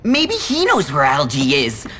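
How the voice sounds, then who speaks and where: A man playing an anxious, excitable, nerdy teenage schoolboy who chatters worriedly in a video game cutscene. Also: fairly high pitch, unhurried, slightly rough, full character voice. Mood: worried, explaining.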